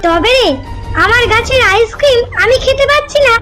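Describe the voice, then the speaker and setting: Shrill, cranky cartoon scold. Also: female voice, animated, moderate pace. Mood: indignant. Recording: loud music behind the voice.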